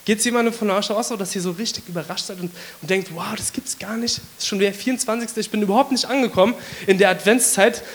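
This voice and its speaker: A man with a hoarse voice from a cold, giving a casual, chatty sermon to his congregation with many fillers. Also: sits high, fast, few pauses. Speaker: preacher.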